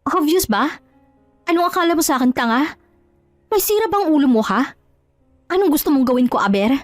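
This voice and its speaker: Over background music comes a high, closely recorded voice: a betrayed wife played by a woman in an audio drama. She is hurt and proud, scolding with scornful questions and resolute in her indignation. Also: animated, fairly quick, no room sound. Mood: indignant, scornful. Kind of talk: Tagalog audio drama acting.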